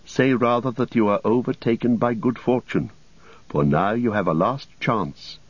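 A man voicing a calm, stately elven lady with composed, formal speech in an audiobook. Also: mid-range voice, moderate pace, slightly rough, dry recording, muffled recording, voice pushed up, natural voice. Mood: composed, dignified.

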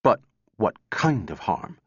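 A man's voice: lowish voice, very animated, slow, husky, heavily acted. A man voicing a sardonic, overwrought woman scientist in a storybook reading, sneering and intense. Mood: sarcastic. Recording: echoey room, dull muffled sound.

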